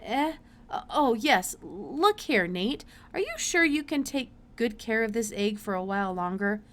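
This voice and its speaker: A woman voicing a brisk, curious, absent-minded older male scientist in a storybook, with hesitant mutters and emphatic exclamations. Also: a little high, sing-song, a bit bright. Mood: eager, fussy.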